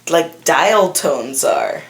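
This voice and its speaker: Casting: female guest, mid-30s, unhurried and animated, fry in the voice, casual talk, roomy sound. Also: low-pitched. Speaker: podcast guest. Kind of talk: casual chat. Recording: roomy echo.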